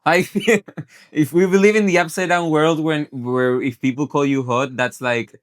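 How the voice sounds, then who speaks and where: A man's voice: on the high side, fairly even intonation, a little slow. A man co-hosting a podcast, talking casually and rambling with lots of "like" fillers and frequent laughs. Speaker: podcast co-host.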